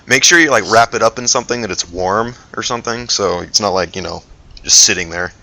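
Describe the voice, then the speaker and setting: A man's voice: fairly low pitch, a little fast, bright, forceful tone. A man running a tabletop role-playing game as game master, talking casually with his players.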